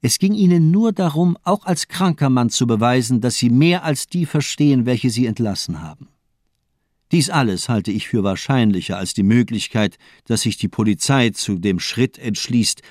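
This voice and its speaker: A man playing a cold, cynical, calculating murderous doctor in a German radio crime drama, eloquent and deliberate, with coolly ironic phrasing. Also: fairly low pitch, darkish tone, no echo, studio quality, natural voice. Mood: coolly analytical.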